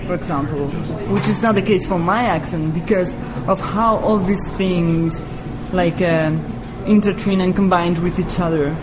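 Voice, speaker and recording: A woman's voice: fairly low pitch, steady tone, normal speed, hardly any pauses, over music, rough recording. A woman from Spain with a Spanish accent, speaking English as a second language in an informal, conversational interview, thinking aloud as she goes. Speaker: interview guest, comic artist. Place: cafe.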